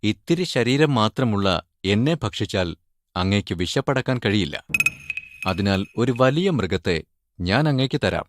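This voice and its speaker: A man doing a sly flattering fox. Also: lowish voice, measured pace, noisy background. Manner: flattering, scheming. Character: sly quick-witted male fox. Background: background music.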